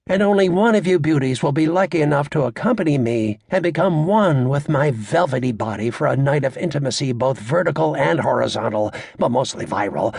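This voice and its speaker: A man playing a brash, crude stand-up comedian working a club crowd in an audiobook, with a raspy voice and a cocky, sarcastic, showy, needling delivery. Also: medium pitch, no echo, studio quality. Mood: cocky, leering.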